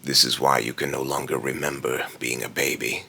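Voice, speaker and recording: A man voicing an ominous immortal being in a theatrical villain monologue, grave and condescending, with solemn, grandiose phrasing and a gravelly, breathy rasp. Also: rumbling low, little pitch movement, fairly quick, sharp tone, full character voice. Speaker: voice actor.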